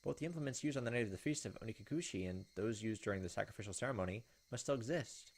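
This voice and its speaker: A man's voice: on the low side, expressive, very fast, a little hiss or hum. A man reading visual novel text aloud as a let's player, with casual asides. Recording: game music behind the voice. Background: video game music.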